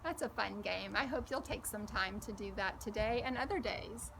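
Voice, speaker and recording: A woman's voice: mid-range voice, creaky, a little hiss or hum, poor audio. A woman hosting a children's story time, reading aloud expressively and speaking directly to young listeners. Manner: slow, expressive, addresses child listeners with questions. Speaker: storybook reader and host.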